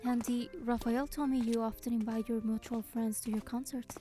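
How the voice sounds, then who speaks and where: Here is a woman playing a young heroine narrating a romance game in first person. Her voice is on the high side and very mellow, with a gentle, measured manner. Quiet music plays behind. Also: level tone, close to an everyday voice. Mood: warm, thoughtful.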